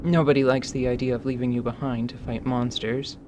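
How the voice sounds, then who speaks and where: A man's voice: little pitch movement, a little fast, noisy background, natural voice. A man voicing a timid, earnest young follower in a radio play, hesitant and deferential. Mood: worried. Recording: rumbling background ambience.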